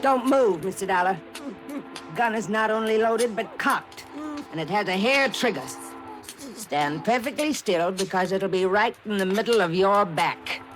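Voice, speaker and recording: A woman's voice: mid-range voice, a little slow, over music, natural voice. An actress playing a ruthless woman smuggler with a mocking, sugary false sweetness, in old-time radio drama style. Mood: cold, threatening. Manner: mocking, syrupy, then coldly threatening. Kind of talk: 1950s radio crime drama acting. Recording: noisy old radio recording.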